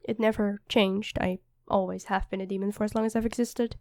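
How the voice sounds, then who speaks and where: A woman's voice: mid-range voice, level tone, fairly quick, darkish tone, dry recording, clean studio sound, lightly acted. A woman playing a feisty, protective demon queen who is a devoted girlfriend, commanding yet affectionate, in an ASMR roleplay. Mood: tender, earnest.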